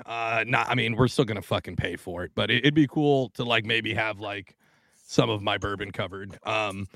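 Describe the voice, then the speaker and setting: A man's voice: medium pitch, creaky, fairly bright tone. A man hosting a casual podcast, talking loosely with lots of swearing and laughter. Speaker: podcast host. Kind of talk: casual chat.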